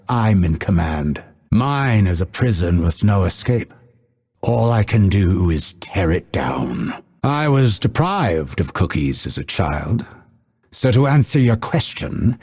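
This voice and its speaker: An actor playing a solemn, dry-witted male assassin in a video game, with measured, coldly menacing delivery. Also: sits low, a little mellow, breathy edge, exaggerated voice. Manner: measured, deliberate, dryly sardonic delivery. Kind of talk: scripted game character voice lines.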